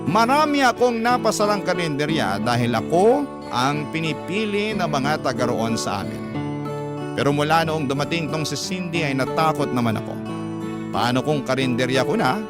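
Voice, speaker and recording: Isang lalaking host ng programa sa radyo na madamdaming nagbabasa ng liham ng isang tagapakinig. Medyo mataas ang boses niya at buhay ang pagkukuwento. Malakas ang tugtog ng gitara sa likod. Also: male voice, a little high, normal speed. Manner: expressive storyteller voice. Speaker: host reading a listener's letter. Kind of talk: dramatic radio letter narration. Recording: loud guitar music behind.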